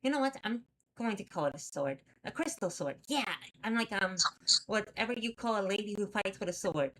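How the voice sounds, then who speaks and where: A woman's voice: mid-range voice, lively intonation, fairly quick, noisy background, lightly acted. A woman voicing an exasperated, stubborn, sarcastic heroine who narrates a horror webcomic in the first person. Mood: wry, wondering. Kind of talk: dramatic first-person comic readalong narration.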